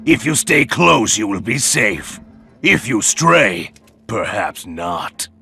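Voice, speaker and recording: A man playing a stern, menacing armored bear in a video game, deliberate and commanding, with a gravelly, forceful voice. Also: fairly low pitch, sing-song, faint music behind, full character voice. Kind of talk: video game cutscene acting.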